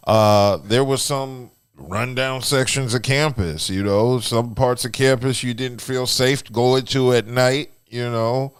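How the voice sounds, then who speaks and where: A man co-hosting a podcast, talking things through steadily with lots of fillers, a naturally loud and booming voice close on a studio mic. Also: smooth, no echo. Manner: steady explanatory talk with frequent fillers. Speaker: podcast co-host. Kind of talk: casual podcast discussion. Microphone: close radio-style studio mic.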